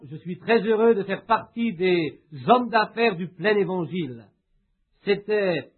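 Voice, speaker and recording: Guy with a high voice reading a sermon slowly, lots of pauses, sounds like it's coming through a phone. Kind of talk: sermon read aloud, with a spoken prayer. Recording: tinny, phone-like lo-fi recording.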